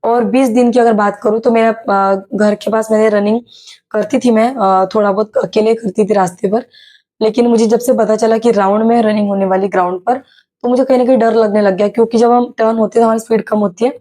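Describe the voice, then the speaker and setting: A young woman from Maharashtra with a slightly sore, hoarse throat, telling a casual vlog story with many hesitations. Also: a little high, fairly even intonation, brisk, warm tone. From Maharashtra, India. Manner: chatty, many hesitations and English words mixed in. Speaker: YouTuber sharing her exam experience.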